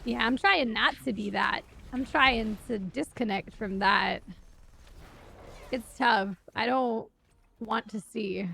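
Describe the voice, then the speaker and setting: A young woman chatting casually on a game stream, wry, animated and sweary, close on the mic. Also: on the high side, takes it slowly, gravelly, slight background noise. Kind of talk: casual live stream chat while gaming.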